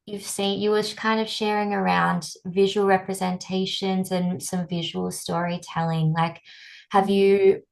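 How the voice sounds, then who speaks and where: A woman's voice: lowish voice, vocal fry, darkish tone. A woman hosting a webinar, chatting in an engaged, curious way and asking her guest questions over a video call. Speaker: webinar host. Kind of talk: casual webinar conversation.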